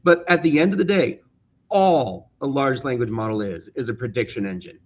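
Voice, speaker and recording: A man explaining things as an interview guest in a measured way, pausing to choose his words. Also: mid-range voice, animated. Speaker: audio drama creator, podcast guest. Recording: dull, muffled online call audio.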